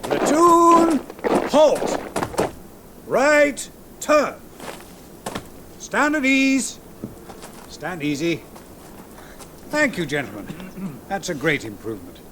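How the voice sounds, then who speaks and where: Mild, formal English gentleman, hissy recording. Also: sits high, big pitch swings, drawn-out words, pauses often, full character voice. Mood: barking orders. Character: mild, fretful middle-aged English gentleman husband and father. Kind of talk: period radio drama acting.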